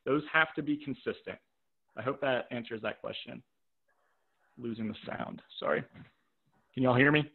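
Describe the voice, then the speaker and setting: A man leads a live video-call workshop, speaking at an ordinary pitch in a direct, practical way and circling back to repeat his key points. His voice sounds tired from a full day of talking. The audio is narrow and tinny, like a phone line. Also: normal speed, vocal fry, pauses often. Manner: checks in with rhetorical "right?" and "okay?". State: voice tiring from talking all day. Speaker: private practice coach running a webinar. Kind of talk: live webinar teaching with audience questions. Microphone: video call microphone.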